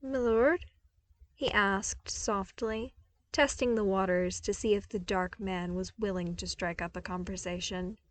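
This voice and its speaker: A woman's voice: mid-range voice, steady tone, measured pace, dry recording. A woman reading scripted fiction aloud as the narrator of an audio story. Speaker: podfic narrator.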